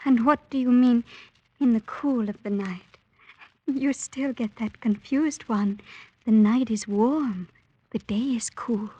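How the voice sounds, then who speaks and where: An innocent young village maiden with a fairly high, breathy voice. She speaks slowly and earnestly, soft and devoted, in old-time radio drama style. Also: muffled recording. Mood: wondering.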